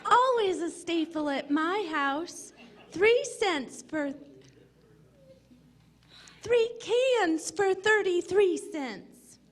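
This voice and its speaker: A woman's voice: very high-pitched, expressive, extremely slow, long pauses. A woman reading a scripted advert in the style of 1940s radio.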